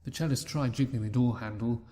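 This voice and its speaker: Brief: male narrator, calm storybook reading, soft mellow tone, middle pitch. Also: a little fast. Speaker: reader. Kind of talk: fan fiction story narration.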